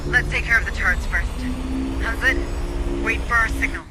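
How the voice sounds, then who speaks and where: Wary soldier, high voice, terse, urgent, combat game, noisy vehicle ambience. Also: male voice, moderate pace. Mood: focused. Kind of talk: military video game acting. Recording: clear vehicle and ambient noise.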